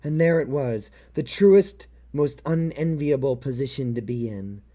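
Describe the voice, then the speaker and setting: A man's voice: mid-range voice, measured pace, mellow, lightly acted. A man voicing a world-weary, fatalistic private detective who narrates a noir story in a brooding, dramatic way.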